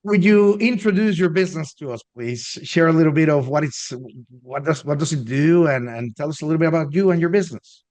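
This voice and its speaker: A man's voice: fairly high pitch, a little fast, few pauses, a little mellow. Man hosting a business interview. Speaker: host, business coach.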